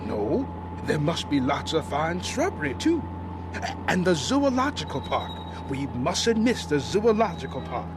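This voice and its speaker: An actor playing a kindly, absent-minded elderly gentleman scientist in a radio drama, hesitant and given to repeating words as he rhapsodizes. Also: fairly high pitch, very animated, a little slow. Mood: enchanted. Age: older. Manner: hesitant, repeating words, rapturous about nature. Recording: noisy old radio drama recording.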